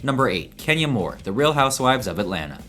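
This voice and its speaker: A man's voice: mid-range voice, sing-song, fast, a bit bright, soft background music. A man doing scripted countdown narration with a wry, punchy delivery.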